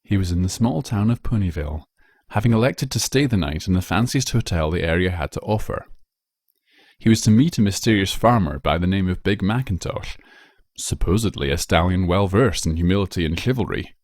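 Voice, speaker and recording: A man reading a scripted story aloud as narrator, in a measured, dramatic storytelling manner. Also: low voice, expressive, a little mellow, no room sound, clean studio sound. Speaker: narrator, fan fiction reader.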